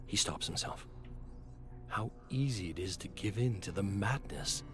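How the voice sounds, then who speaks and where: A man reading a scripted story in a theatrical, intense way that moves between hushed and urgent. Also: lowish voice, animated, measured pace. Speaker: narrator. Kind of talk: dramatic scripted story narration. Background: background music.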